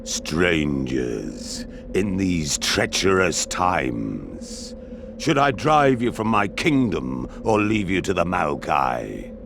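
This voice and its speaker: Very deep, imperious warrior king. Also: big pitch swings, gravelly, noisy background, exaggerated voice. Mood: scornful, threatening. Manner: slow, commanding, scornful. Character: stern, imperious warrior king, proud and brooding. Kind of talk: video game cutscene acting.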